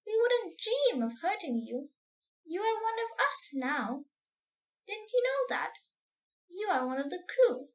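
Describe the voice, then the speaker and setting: A woman's voice: very high voice, very animated, unhurried, long pauses, far from the mic, voice pushed up, full character voice, low-quality recording. A woman voicing a kindly giant female ladybird in a storybook, with emphatic, exclamatory delivery. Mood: kindly, reassuring.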